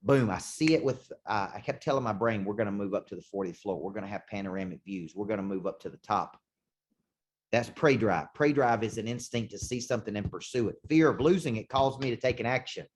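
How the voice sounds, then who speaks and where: A man, a sales coach, giving an intense motivational talk with punchy repetition, slowing down to hammer key points. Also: lowish voice. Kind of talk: motivational talk to a show host. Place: condo.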